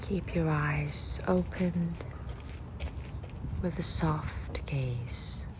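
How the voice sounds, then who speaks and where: A low-voiced woman leads a guided meditation at a very slow pace. She speaks softly and breathily in short, gentle phrases, leaving long pauses. Faint nature ambience sits behind her voice. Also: background noise.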